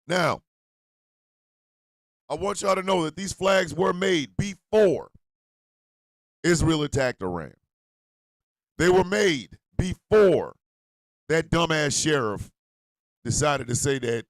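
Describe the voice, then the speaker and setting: A man hosting an internet radio show and doing comedic ad reads in a loud, rambling, jokey way. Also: mid-range voice, no echo, rough recording.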